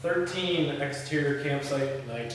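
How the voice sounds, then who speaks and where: A man's voice: mid-range voice, a little slow. A man reading stage directions and chatting casually at a script table read, recorded indoors from a distance. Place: indoor room, reverberant, mic at a distance. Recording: echoey room from far off, faint noise.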